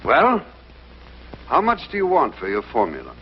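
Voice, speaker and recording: Hesitant polite american govt official, very animated pitch, old muffled tv drama sound. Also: male voice. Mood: probing. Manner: halting, deliberate, formal and polite. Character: hesitant, courteous government official, a cabinet secretary. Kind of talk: mid-century American TV drama acting. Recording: dull, noisy vintage TV soundtrack.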